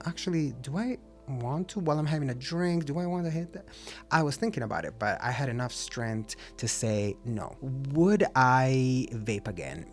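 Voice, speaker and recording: A man talking openly to his camera in a casual vlog, reflective and encouraging, with an occasional stutter and restarts. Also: mid-range voice. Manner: open, reflective, self-encouraging. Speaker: vlogger.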